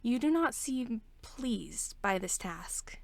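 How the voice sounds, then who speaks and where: A reserved alien man, voiced by a woman narrator, speaks in stiff, measured, formal sentences. He is composed and quietly fond, in an audiobook story with a very dry, close sound. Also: a little high, expressive, creaky, dry recording, heavily acted. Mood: quiet, curious. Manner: formal, measured speech without contractions.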